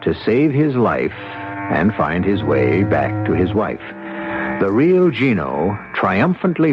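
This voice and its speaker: Casting: male mystery-radio host, American, very low, slow dramatic delivery, muffled 1970s broadcast with music behind. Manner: deliberate, theatrical.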